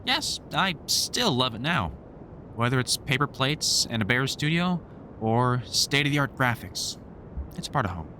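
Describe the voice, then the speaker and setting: A man's voice: medium pitch, very animated, unhurried, pushed and bright, slight background noise. A man playing a wry, grumpily fond vampire hunter in a conversational audio roleplay, teasing and swearing through rambling explanations. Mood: explaining, wry.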